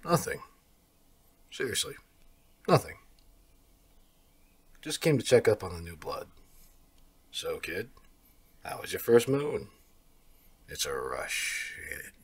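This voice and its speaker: A man's voice: on the low side, sing-song, slow, long pauses, slightly rough, exaggerated voice. A man playing a taunting, smug werewolf rival in an ASMR audio drama, with a theatrical, mocking drawl. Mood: mocking, breezy. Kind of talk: ASMR audio roleplay acting.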